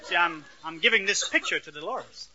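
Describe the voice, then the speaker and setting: Naive earnest young man, high sharp excitable voice, old radio comedy. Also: brisk, exaggerated voice. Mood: boastful, incredulous. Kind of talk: 1940s-50s radio comedy acting. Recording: dull old radio recording, faint noise.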